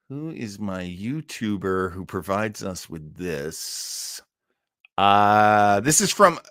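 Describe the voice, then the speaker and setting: A man with a mid-pitched, very animated voice hosts a casual livestream. He is chatty and enthusiastic, wandering as he talks and stopping to hunt for the right word. The sound is dry, with little room echo. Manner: rambling, halting, searching for words. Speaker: host of an old time radio show livestream.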